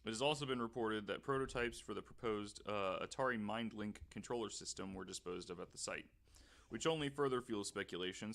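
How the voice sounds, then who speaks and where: A man's voice: lowish voice, gravelly, a bit bright. A man hosting a casual podcast, reading researched notes aloud and often stumbling and correcting himself.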